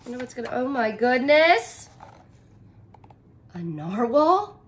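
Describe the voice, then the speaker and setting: A woman in her thirties reads a picture book aloud to young children and comments on it in simple, expressive, wonder-filled phrases. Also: on the high side, slow. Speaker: teacher reading aloud to children. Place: small indoor room, curtained corner.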